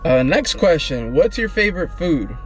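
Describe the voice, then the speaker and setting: A man's voice: on the high side, big pitch swings, moderate pace. Young man, casual rambling vlog chat, slangy, faint music underneath. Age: 20s. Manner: laid-back, rambling, slangy. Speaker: vlogger. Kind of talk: casual get-to-know-me chat.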